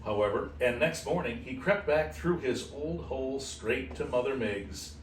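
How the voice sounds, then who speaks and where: A man reads a children's storybook in an expressive way, dropping in casual asides. His voice sits in the middle register, a little away from the mic in an echoey room with faint background noise. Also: mid-range voice, moderate pace, far from the mic. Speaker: host reading a children's book aloud. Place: animal shelter room with kittens.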